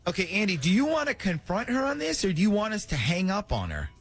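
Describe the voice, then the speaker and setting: A man hosting a morning radio show, talking in an animated, conversational way during a prank call segment. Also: high voice, fast talker, breathy edge, faint music behind.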